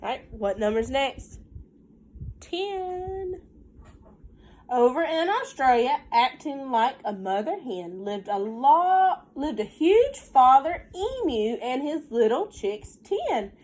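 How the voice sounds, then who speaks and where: A woman's voice: high-pitched, big pitch swings, takes it slowly, a little mellow, low-quality recording. A woman with a Southern US accent, a teacher reading a picture book aloud to children in a sing-song way with folksy, casual asides.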